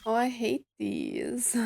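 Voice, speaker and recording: Female let's-play host, slow delivery, casual asides and mumbled reactions, faint game music underneath. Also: a little high, huge pitch swings, gravelly. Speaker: game streamer playing through a story quest.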